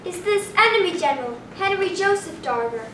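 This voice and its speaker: A woman's voice: very high voice, expressive, fairly quick. A young female performer playing a dramatic, macabre little girl in a staged play reading, declaiming theatrically. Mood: urgent, wary. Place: small hall, staged reading before an audience. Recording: roomy distant camera recording, faint noise.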